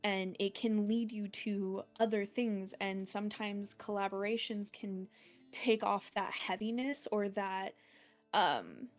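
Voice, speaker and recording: A woman streamer with a deeper voice for a woman, chatting casually as a guest in an interview, rambling and laughing often. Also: takes it slowly, soft background music.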